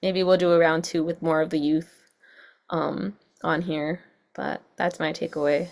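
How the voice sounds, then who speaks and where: A woman's voice: deep voice, level tone, measured pace, a little mellow. A young woman speaks as a panelist on a video call. She talks casually and leans on "like" in nearly every sentence. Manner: casual, lots of "like", laughs often.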